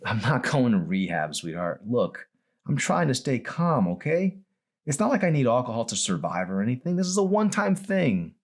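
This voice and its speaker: A man's voice: medium pitch, big pitch swings, moderate pace, pitched above the performer's own voice. A man reading as a weary, defensive alcoholic father in an audiobook, pleading and confessional.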